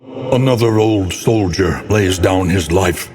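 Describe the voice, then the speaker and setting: A cavernous reverb surrounds this very low-voiced, brooding older scholar, narrating a gothic video game of doom. He speaks slowly and solemnly. Each phrase is weighed with grave, theatrical portent. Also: a little mellow. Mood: mournful. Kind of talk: scripted dramatic game narration.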